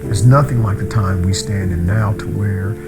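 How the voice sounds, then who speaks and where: A man's voice: lowish voice, normal speed. A man giving an earnest, reflective interview testimonial. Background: loud guitar music.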